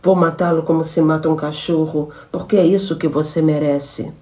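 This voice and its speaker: A woman's voice: deep voice, steady tone, normal speed, dark tone, natural voice. A woman reader voicing a jealous young woman who shoots her lover and then falls into hysterics. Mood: cold threatening. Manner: menacing then frantic and sobbing.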